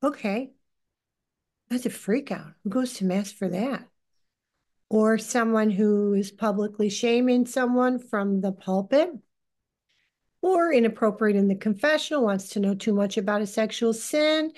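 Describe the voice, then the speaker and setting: A woman in her sixties giving informal religious teaching on a group call, speaking in an earnest, deliberate, halting way. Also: mid-range voice, darkish tone. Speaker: leader of a lay religious group. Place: living room, indoors. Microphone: computer on a video call.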